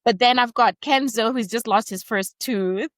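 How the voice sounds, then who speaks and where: A woman's voice: fairly high pitch, normal speed, no room sound, studio quality. A woman in her thirties hosting a podcast interview, chatty and relatable, with plenty of filler words. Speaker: podcast host. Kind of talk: conversational interview.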